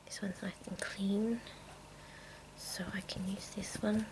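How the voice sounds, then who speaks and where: A woman hosting an ASMR video in a breathy whisper, chatting casually and playfully as she shows off her things. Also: mid-range voice, little pitch movement, takes it slowly, noisy background. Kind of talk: whispered ASMR, casual show-and-tell of makeup tools.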